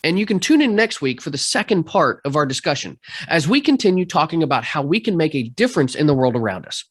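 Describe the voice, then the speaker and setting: A man's voice: on the high side, big pitch swings, quick pace, husky, dry recording, studio quality. A man hosting a podcast, talking frankly in casual conversation and reading a scripted outro. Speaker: podcast host.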